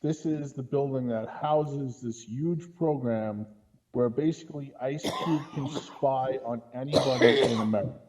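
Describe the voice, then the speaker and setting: A man's voice: medium pitch, unhurried, a little mellow, low-quality recording. A man giving casual, critical film commentary on a podcast in an exasperated way, often checking his points with a questioning tag. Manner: exasperated, punctuates points with "right?". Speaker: podcast co-host or guest critic.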